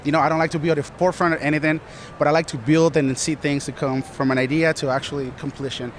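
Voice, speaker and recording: An earnest, driven man from Colombia describes building an art business, his voice slightly raised in pitch. He talks energetically, often circling back over his own words. Faint outdoor noise is heard around him. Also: level tone, quick pace. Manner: earnest, driven, repeats himself. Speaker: studio manager and business partner. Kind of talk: casual interview answers.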